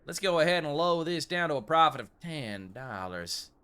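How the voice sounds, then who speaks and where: A man's voice: on the high side, big pitch swings, normal speed, fairly bright tone, smooth. A man playing a fussy frontier gun shop owner in gameplay commentary, with a put-on American Old West accent and wordy, mock-formal courtesy. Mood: scheming, businesslike. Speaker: gaming video creator.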